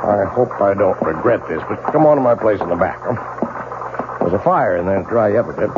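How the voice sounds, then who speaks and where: A man's voice: fairly low pitch, expressive, brisk, noisy background, close to an everyday voice. An actor playing a brooding, curt clockmaker telling his confession in a 1970s radio drama. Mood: gruff, guarded. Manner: dramatic, brooding when narrating, curt in dialogue. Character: haunted, grumpy, obsessive male clockmaker confessing his tale. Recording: tinny old radio broadcast.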